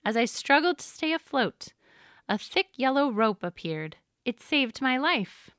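A woman's voice: big pitch swings, unhurried, a bit bright, no room sound, clean studio sound, exaggerated voice. A woman voicing a hearty, reflective father in a storybook, an adult man telling of his hard travels. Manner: booming greeting, storytelling. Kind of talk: storybook character voice.

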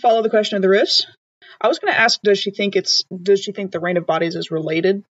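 A woman playing a tabletop game, chatting casually and thinking aloud in halting questions. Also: medium pitch, no room sound, dull audio. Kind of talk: casual improvised game chat.

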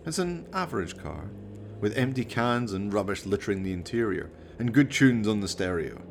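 A man's voice: on the low side, sing-song, moderate pace, gravelly, noisy background. A man narrating a scripted radio play in a dramatic storytelling manner.

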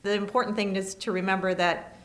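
A woman speaks as a lawyer guest, seated at a studio table. She answers in a measured way and works through her points as lists. Her voice sits in an ordinary mid range. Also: mid-range voice, steady tone. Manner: measured, explains by listing points. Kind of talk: interview answers.

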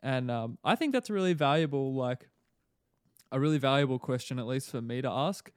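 Brief: male guest pastor, soft Australian accent, earnest and musing, dry close sound. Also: medium pitch, lively intonation, a little fast, clear, resonant tone, no room sound. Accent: Australian, mild. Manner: thoughtful and earnest, with many fillers and restarts. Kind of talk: casual podcast chat.

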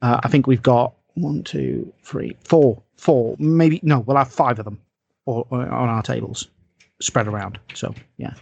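A man co-hosting a podcast in casual hobby chat, speaking in a halting, rambling way and repeating his phrases. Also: mid-range voice, vocal fry, a little mellow, husky, dry recording, lo-fi sound. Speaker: podcast co-host.

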